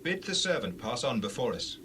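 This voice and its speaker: A man's voice: medium pitch, big pitch swings, brisk, pushed and bright, slight background noise. Solemn old prophet, acted by a man, swooping delivery. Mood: grave, prophetic. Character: aged Hebrew prophet, solemn, authoritative, commanding. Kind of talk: dramatized scripture reading.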